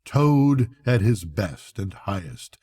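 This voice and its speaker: An older man narrating a bedtime story aloud as a storyteller, with measured, dramatic phrasing. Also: fairly low pitch, very animated, warm tone, breathy edge. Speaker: storyteller, grandfather reading aloud.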